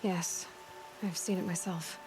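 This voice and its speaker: An actress playing a self-reliant, determined young huntress in a video game, direct and matter-of-fact. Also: female voice, fairly low pitch, fairly even intonation, unhurried, over music, rough recording. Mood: curious, resolute. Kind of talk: video game cutscene dialogue.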